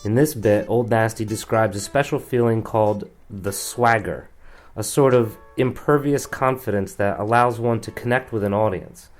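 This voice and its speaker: A man with a low voice reads scripted spoken-word material. His delivery is blunt and deadpan, with light music playing behind him. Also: moderate pace.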